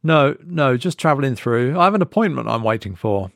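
A man's voice: mid-range voice, expressive, a little fast, vocal fry, dry recording, studio quality, voice pushed up. A man voicing a polite, hesitant travelling salesman who is out of place, in an audio horror story. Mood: uneasy, courteous.

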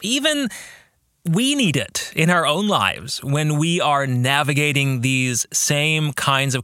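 Male podcast host for reflective book discussion: slightly high-set voice, dry close sound, earnest and questioning. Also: sharp tone, no echo.

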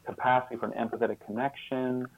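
A man's voice: mid-range voice, flat delivery, fast talker, slight background noise. A man speaking as a guest expert in an interview, reflective and earnest, often repeating words as he thinks. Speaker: guest author and social scientist. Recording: muffled phone-like narrow-band line.

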